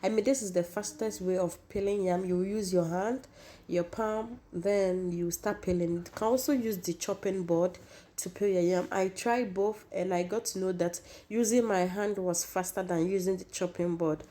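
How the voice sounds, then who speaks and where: Hoarse woman, casual cooking host, warm low-bright timbre, talks straight to viewers. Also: steady tone, normal speed. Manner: chatty, repeats herself, addresses viewers as guys. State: hoarse, losing her voice.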